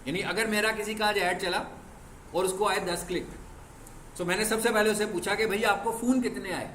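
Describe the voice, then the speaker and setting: High-voiced male teacher in an echoey classroom. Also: fairly even intonation, brisk, a bit bright. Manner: explains through rhetorical questions and answers them himself; stern with students about discipline. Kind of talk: classroom lecture. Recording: echoey classroom with faint background noise.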